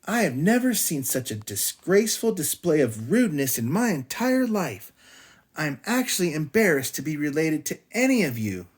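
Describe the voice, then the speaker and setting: A man's voice: on the high side, big pitch swings, normal speed, husky, voice pushed up. A man voicing a stern, dismayed mother bear who scolds her cubs firmly in a storybook. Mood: indignant. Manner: firm, scolding.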